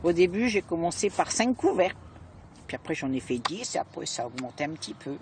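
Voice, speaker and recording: Older French woman, animated retiree interviewee, chatty, noisy ambient background. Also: on the low side, brisk. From France. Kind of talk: casual chat.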